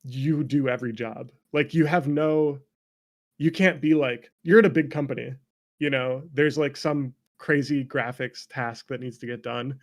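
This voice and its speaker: A man speaking as a game developer guest in a casual conversation, candid and animated, and quick to laugh. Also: medium pitch.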